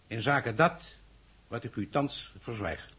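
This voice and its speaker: An actor playing a grave, secretive, authoritative government minister in a 1960s Dutch radio play, speaking formally and with measure. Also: male voice, medium pitch, expressive, a little slow, pushed and bright, breathy edge, heavily acted. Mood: commanding. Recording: old 1960s radio drama recording.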